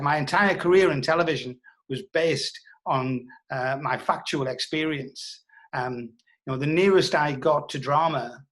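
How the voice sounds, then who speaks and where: A man's voice: a little high, a bit bright, low-quality recording. A British man in his sixties, reminiscing as a guest in an interview. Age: 60s. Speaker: interview guest, former television producer and journalist.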